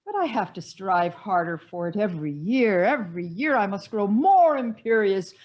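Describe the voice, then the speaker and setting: A woman's voice: medium pitch, animated, moderate pace, lo-fi sound, close to an everyday voice. Woman playing an imperious aging society matron, swooping voice. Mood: proud, indignant. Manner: theatrical, emphatic, grandly indignant. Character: imperious aging society matron, proud, jealous, refusing to grow old. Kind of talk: stage comedy acting, one-act play.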